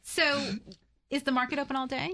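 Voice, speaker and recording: A woman's voice: high-pitched, little pitch movement, brisk, a crowd in the background. A woman hosting a casual radio interview in a studio, interjecting with questions and playful teasing. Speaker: interview host.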